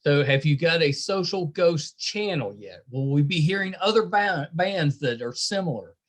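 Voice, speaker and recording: A man's voice: a little high, lively intonation, moderate pace. A man hosting a casual interview, chatting as he asks questions. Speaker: podcast host interviewing a band.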